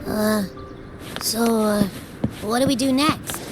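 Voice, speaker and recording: A woman's voice: on the high side, steady tone, slow pace, low-quality recording. A woman voicing a breezy, upbeat female sidekick in an anime-style game, with a carefree drawl. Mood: unsure. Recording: loud music under the voice.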